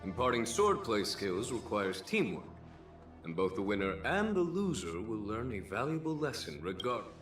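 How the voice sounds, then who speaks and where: Polite calm general guy, fairly low, very varied intonation, roomy. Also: moderate pace. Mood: calm, courteous. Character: courteous calm male general, thoughtful leader. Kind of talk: video game story dialogue. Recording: game music behind, roomy sound.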